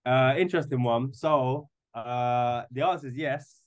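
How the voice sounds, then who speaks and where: A man's voice: mid-range voice, measured pace. A man in his twenties in casual podcast chat, rambling and often stumbling over repeated words as he starts a thought. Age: 20s. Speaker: podcast guest.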